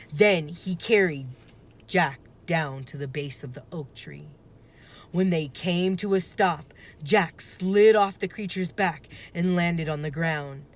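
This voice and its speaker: A woman reading a children's book aloud with expressive, deliberate, dramatic phrasing. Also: lowish voice. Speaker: storybook reader.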